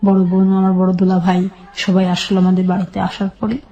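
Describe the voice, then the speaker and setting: Dark-voiced Bangladeshi woman recounting her life. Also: mid-range voice, completely flat delivery, brisk, highs cut off. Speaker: guest telling her life story. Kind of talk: personal storytelling in an interview.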